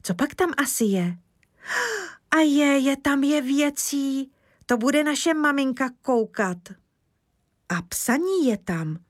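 A woman's voice: expressive, dry recording, studio quality. A woman voicing an eager, chatty little boy in a storybook reading. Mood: chatty excited. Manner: eager, rushing, raised pitch.

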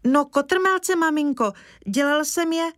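A woman's voice: no room sound, clean studio sound, natural voice. A woman voicing an eager, chatty little boy in a storybook reading. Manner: eager, rushing, raised pitch.

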